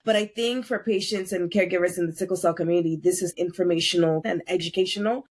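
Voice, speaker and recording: A woman hosting a podcast interview, speaking conversationally with effusive, expressive wording. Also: fairly low pitch, fast talker, husky. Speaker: podcast host.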